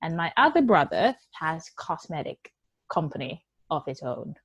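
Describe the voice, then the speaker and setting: Young woman talking about her business, low voice, dry sound, says um a lot. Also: sing-song, moderate pace, husky, dry recording. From Thailand. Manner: conversational, non-native English with fillers. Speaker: entrepreneur guest. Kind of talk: interview answers.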